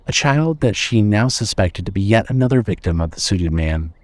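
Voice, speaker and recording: Male narrator, fairly low voice, scripted story narration, dry close sound. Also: expressive, moderate pace, no room sound.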